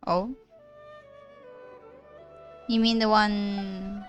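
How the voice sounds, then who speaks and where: A woman's voice: mid-range voice, flat delivery, drawn-out words, muffled recording, soft background music. A woman live streaming a game, reacting with short, outspoken interjections.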